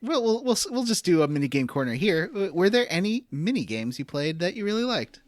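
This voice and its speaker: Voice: mid-range voice, very animated, a little fast, no room sound. A podcast co-host chatting casually about video games in an informal, slightly hesitant way that can trail off. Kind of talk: casual gaming podcast chat.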